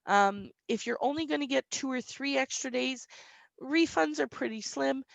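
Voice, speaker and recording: Woman broker, slightly squeaky, plain explainer with "um"s, dry close webinar sound. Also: normal speed, vocal fry, no echo. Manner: reads questions aloud then explains plainly, frequent "um". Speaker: insurance broker answering webinar questions.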